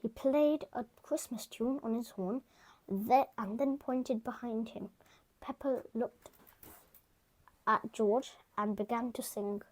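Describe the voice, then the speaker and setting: A woman reading a children's picture book aloud, gentle and soft-spoken, sometimes dropping to a whisper. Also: on the high side, slow pace, poor audio. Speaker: storybook reader. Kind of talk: children's read-aloud narration.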